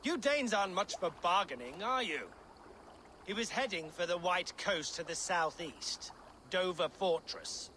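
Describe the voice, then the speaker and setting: A sardonic captive bard in a video game drama. His voice is fairly high and sharp, bitter in tone, with faint ambience behind it. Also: expressive, unhurried, slight background noise, full character voice. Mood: mocking, resigned.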